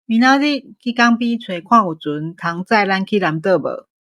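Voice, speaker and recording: A woman acts an easygoing female adventurer in a radio play. She is decisive and reassuring, with a medium pitch and a dry studio sound. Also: lively intonation, moderate pace, fairly bright tone, dry recording, highs cut off. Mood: suggesting, practical. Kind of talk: Taiwanese-language radio drama acting.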